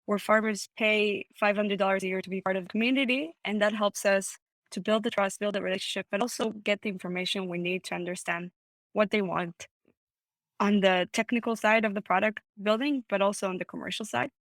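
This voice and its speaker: A woman in her thirties, originally from Israel, talks as a startup CEO on a video call. She explains things step by step in an articulate way, her voice sitting at a middle pitch. The sound is dry and close, with no room echo. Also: steady tone, brisk. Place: home room, indoors.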